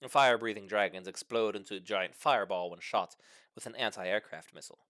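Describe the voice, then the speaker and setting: A man's voice: fairly low pitch, fairly quick, fairly bright tone, no echo, studio quality. A man reading a funny short story aloud as scripted narration, with theatrical, mock-serious emphasis. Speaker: story reader.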